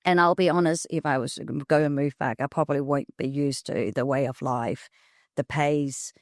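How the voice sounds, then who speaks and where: A woman, talking candidly as an interview guest with quick self-deprecating asides. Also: low-pitched, steady tone, no room sound, clean studio sound. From Hong Kong. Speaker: guest, prosthodontist. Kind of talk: casual interview conversation.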